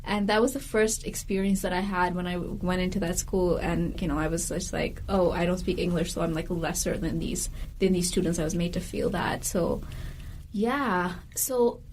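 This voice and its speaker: A woman's voice: lowish voice, steady tone, normal speed, darkish tone, slight background noise. A woman who grew up in India, hosting a podcast interview in an earnest, reflective way, with many fillers. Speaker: podcast host. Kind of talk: conversational interview.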